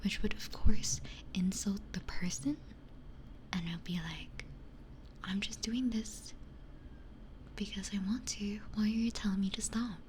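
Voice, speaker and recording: A woman whispers in a hushed, breathy ASMR style, very close to the microphone. She chats casually and reflectively to stream viewers, with a light hiss of ambient noise behind her voice. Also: mid-range voice, steady tone, measured pace, pauses often. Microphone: close binaural ASMR microphone.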